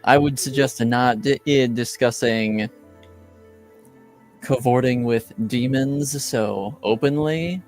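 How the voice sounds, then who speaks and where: A man voicing a reserved, formal knight lieutenant with a measured, stiffly polite manner in improvised tabletop roleplay. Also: medium pitch, darkish tone, faint music behind, lightly acted. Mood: guarded, polite.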